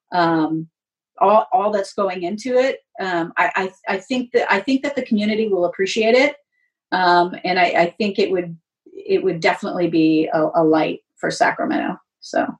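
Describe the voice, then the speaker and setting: A woman's voice: mid-range voice, moderate pace. A woman speaking candidly and emphatically as a guest in a casual interview; she works as a sports announcer. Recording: voice distant from the mic.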